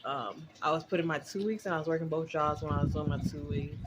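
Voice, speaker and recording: A woman's voice: low voice, fairly even intonation, fast talker. A woman in her twenties chatting casually and candidly to her viewers, rambling in a conversational vlog style. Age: 20s. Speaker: vlogger. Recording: very noisy recording with clicks.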